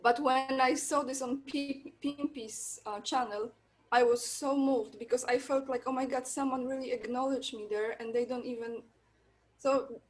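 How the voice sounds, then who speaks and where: A woman with a Polish accent and a noticeably high voice, speaking as a guest. She tells her stories eagerly and rambles from one thought to the next, with English as her second language. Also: steady tone, normal speed, rough recording. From Poland. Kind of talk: casual interview chat.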